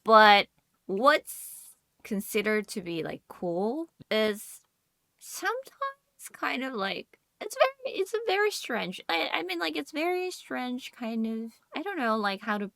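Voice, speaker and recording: A woman's voice: a little high, sing-song, takes it slowly, vocal fry, fairly bright tone, no echo. A woman chatting casually on a podcast, talking in a loose, filler-heavy way. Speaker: podcast co-host. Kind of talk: casual chat.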